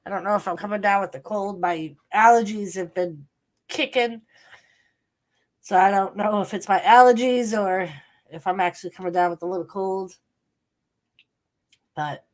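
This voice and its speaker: Stuffy woman hosting a slow stream. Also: medium pitch. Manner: greets chat viewers, unhurried. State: stuffy nose. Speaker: stream host. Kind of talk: live stream chat.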